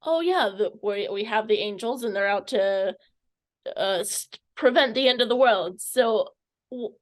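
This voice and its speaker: A woman's voice: medium pitch, unhurried. A woman interviewing someone casually, tentative and rambling, stumbling over her words as she goes.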